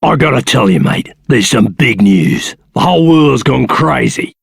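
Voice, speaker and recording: A man playing a rough, crooked Australian prison guard in a video game, slangy and matey with a gravelly, husky voice. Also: medium pitch, expressive, measured pace, no room sound, clean studio sound, exaggerated voice. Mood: conspiratorial.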